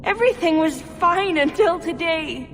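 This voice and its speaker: High-voiced, timid slave girl. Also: animated, a little slow, noisy background, exaggerated voice. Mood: anxious, pleading. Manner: meek, naive, pleading. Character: timid, innocent, frightened young female slave servant. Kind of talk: video game voice acting. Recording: long echoing reverb, music underneath.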